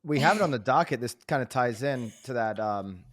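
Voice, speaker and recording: A man's voice: medium pitch, lively intonation, fairly quick, fairly bright tone. A man co-hosting a business podcast, talking loosely and easygoing, with fillers and trailing thoughts. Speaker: podcast co-host. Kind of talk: casual business podcast discussion.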